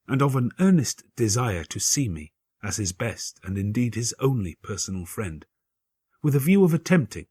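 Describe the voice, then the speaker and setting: Husky, low-voiced man narrating gothic fiction. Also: a little mellow, no room sound, studio quality. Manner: grave, measured, theatrical delivery with formal literary phrasing. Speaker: audiobook narrator. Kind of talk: dramatic gothic audiobook narration.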